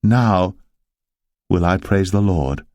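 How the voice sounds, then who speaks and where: A man voicing a sorrowful, devout young wife in a dramatised scripture reading, with solemn, measured archaic speech. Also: low-pitched, a little mellow, no echo, studio quality. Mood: grateful devotion.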